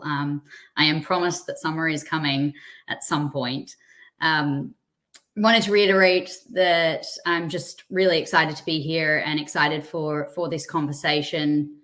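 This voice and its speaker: A woman's voice: on the low side, a bit bright. An Australian woman giving a friendly, chatty presentation as a guest speaker, with a self-deprecating touch. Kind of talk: presentation on a webinar.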